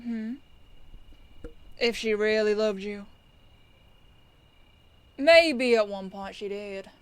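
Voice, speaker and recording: A woman playing a composed police detective in an audio roleplay, firm yet kindly, with a measured, folksy, knowing delivery. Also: medium pitch, smooth, dry recording. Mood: soft, consoling.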